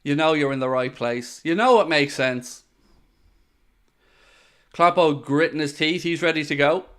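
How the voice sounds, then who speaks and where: A man hosting a live football watchalong stream, calling each pass and joking with the chat. His voice is smooth and clear and sits a little high for a man. He talks excitably and speaks straight to his viewers. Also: a little slow. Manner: excitable, jokey, addresses viewers directly. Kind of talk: live match commentary.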